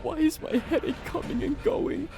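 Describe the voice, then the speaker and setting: A man's voice: very high-pitched, normal speed, creaky, faint music behind, voice pushed up. A man playing a terrified, desperate young man in a horror story, pleading frantically and repeating himself. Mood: panicked desperation. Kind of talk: horror audio story acting.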